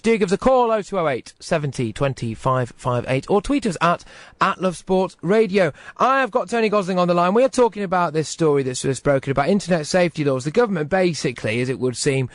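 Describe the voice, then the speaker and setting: A man's voice: high-pitched, no room sound, clean studio sound. A British man hosting a late-night talk radio phone-in, speaking emphatically and posing probing questions. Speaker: radio host.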